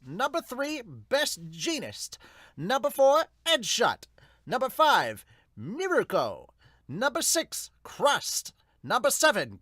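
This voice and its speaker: Man putting on a loud, high-pitched grand announcer. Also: no echo, studio quality, full character voice. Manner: grand, emphatic declaiming. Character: booming male announcer of hero rankings. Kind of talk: amateur manga dub.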